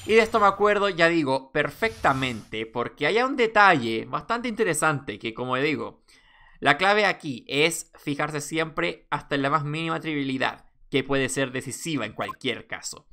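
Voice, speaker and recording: A man's voice: a little high, expressive, moderate pace, bright, forceful tone. A man giving casual commentary as he plays a game for viewers. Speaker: game streamer.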